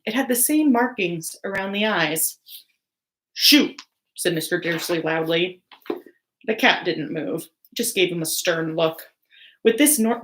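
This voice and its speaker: A woman reading a story aloud like a teacher, in expressive narration. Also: lowish voice.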